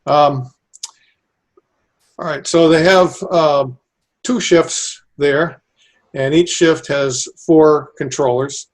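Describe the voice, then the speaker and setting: Man with an even, level delivery. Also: fairly high pitch, takes it slowly, long pauses. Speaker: civil engineer, airport designer being interviewed. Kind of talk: explanatory interview answers.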